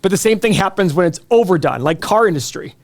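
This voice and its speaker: A man's voice: sits high, lively intonation, fast talker. A man hosting live stock earnings commentary, talking conversationally and explaining things directly to viewers. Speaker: finance show host.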